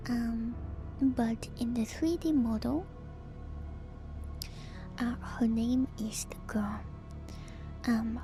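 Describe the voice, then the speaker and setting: A woman streamer whispering softly and breathily in an ASMR style, musing gently and hesitantly through game lore commentary close to the microphone. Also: fairly high pitch. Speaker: streamer, VTuber host. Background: music.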